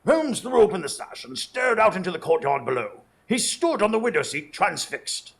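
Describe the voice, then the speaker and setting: Earnest gentleman doctor and storyteller, male actor, higher-pitched with a husky rasp, radio drama. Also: very animated, normal speed, full character voice. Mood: solemn narration. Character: earnest Victorian doctor, dramatic narrator and loyal sidekick.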